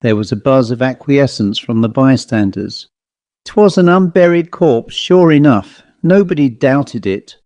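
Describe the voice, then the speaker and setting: A man narrating a Victorian ghost story as a scripted audiobook, in a measured, dramatic storytelling manner. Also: medium pitch, lively intonation, darkish tone, dry recording.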